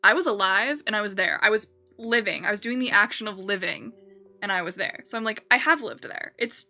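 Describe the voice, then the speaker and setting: Bright, chatty young woman streamer. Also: medium pitch, a little fast, soft background music. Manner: rambling, chatty, self-correcting with many fillers. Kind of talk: casual chat while playing a building game.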